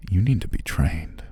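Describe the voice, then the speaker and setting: A man playing a cruel, possessive stalker captor in an intimate ASMR roleplay, speaking in a breathy, condescending, taunting murmur that often drops near a whisper. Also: dark tone, close to an everyday voice. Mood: menacing, exasperated.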